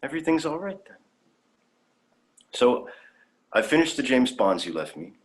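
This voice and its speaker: A man's voice: medium pitch, big pitch swings, moderate pace, close to an everyday voice. A young man playing a naive, idealistic alien youth in a dramatic reading, earnest and prone to stammering. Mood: earnest, eager. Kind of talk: dramatic fan fiction reading.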